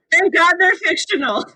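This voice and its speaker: A woman's voice: extremely high pitch, pushed and bright, no room sound, poor audio. A woman in a casual book discussion on a podcast, talking informally with a wry manner. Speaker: podcast panelist.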